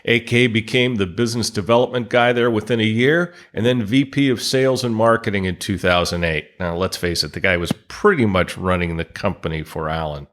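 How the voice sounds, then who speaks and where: A man hosting a podcast, reading industry news from a script and introducing his guest in a steady read-aloud manner. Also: on the low side, brisk, few pauses. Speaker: podcast host.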